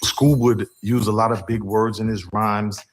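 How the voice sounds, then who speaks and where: A man's voice: fairly low pitch, flat delivery, normal speed, faint music behind, rough recording. A man in a documentary interview, fondly describing an old friend.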